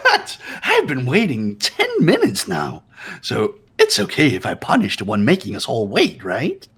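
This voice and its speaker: Villainous robot bear mascot: theatrical, taunting, huge swoops in pitch, husky edge, music underneath. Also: male voice, huge pitch swings, measured pace, fairly bright tone, heavily acted. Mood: taunting menace. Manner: theatrical, mock-indignant. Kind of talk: fan-dubbed visual novel game acting.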